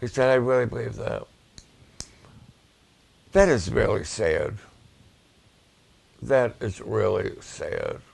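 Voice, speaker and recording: A man, a pastor, preaching and promoting his ministry's videos, with a voice that gets raspy at times, speaking with emphatic repetitions. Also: lowish voice, sing-song, a little mellow. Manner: slow, deliberate, with emphatic repetitions.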